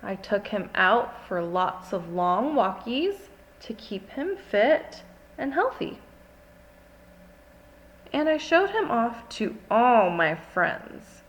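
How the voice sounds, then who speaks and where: A woman voicing an earnest, dramatic little girl in a storybook, with expressive, childlike storytelling. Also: mid-range voice, slow pace, muffled recording. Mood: fond, proud.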